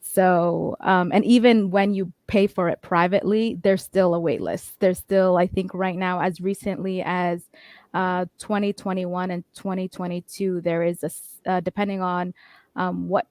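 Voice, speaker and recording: A woman's voice: on the low side, level tone, moderate pace, darkish tone, dry recording. A woman guest from Canada explaining a point in detail on a panel, with frequent restarts. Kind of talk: panel discussion.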